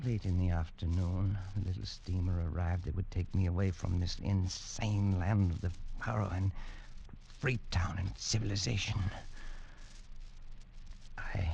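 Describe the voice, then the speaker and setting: An actor playing a high-strung, haunted English adventurer, narrating and acting dramatically with brooding pauses in old-time radio style. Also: male voice, vocal fry, mellow, highs cut off, a little hiss or hum. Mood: weary hope.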